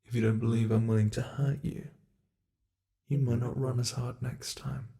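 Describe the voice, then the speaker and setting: A man voicing a sadistic, playful ancient monster hunting human prey in an ASMR roleplay, taunting theatrically and slipping into breathy whispers. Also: medium pitch, little pitch movement, normal speed, dark tone, lightly acted. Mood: sinister, playful. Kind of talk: ASMR roleplay, scripted monologue.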